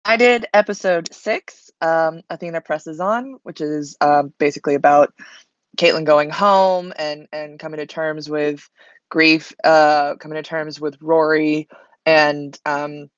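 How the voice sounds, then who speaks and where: A woman's voice: sits low, steady tone, unhurried, dry recording. Woman writer in dull, lo-fi audio. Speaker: podcast writer on a panel. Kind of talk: casual panel talk.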